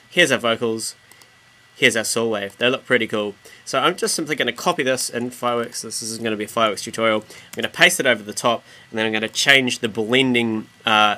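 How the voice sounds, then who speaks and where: A man with a New Zealand accent explaining software step by step in an informal, slangy way as a tutorial presenter. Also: on the low side. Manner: relaxed, casual slangy explaining. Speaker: tutorial presenter and music producer.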